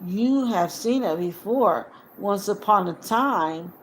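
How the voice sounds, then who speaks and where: A woman voicing a stern male hero in a storybook, giving firm, loud, deliberate commands. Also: mid-range voice, lively intonation, slight background noise, low-quality recording. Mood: stern, threatening. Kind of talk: audiobook character voice.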